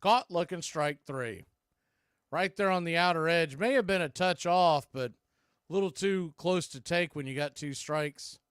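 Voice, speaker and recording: A man's voice: fairly high pitch, unhurried, a bit bright, smooth, no room sound, studio quality. A man calling live baseball play-by-play for radio in clipped, punchy phrases. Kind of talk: live radio sports commentary.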